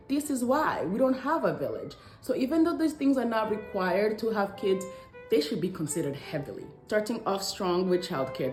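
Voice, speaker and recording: A woman's voice: medium pitch, darkish tone, reverberant. A woman in her thirties from Congo talking to camera as a lifestyle vlogger, conversational and emphatic. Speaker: vlogger, stay-at-home mother. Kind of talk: scripted talk to camera in a lifestyle vlog.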